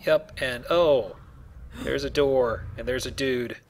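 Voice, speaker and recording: A man's voice: medium pitch, takes it slowly, slight background noise. A man giving casual, rambling, explanatory commentary while playing a video game. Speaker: let's play host, playing the game.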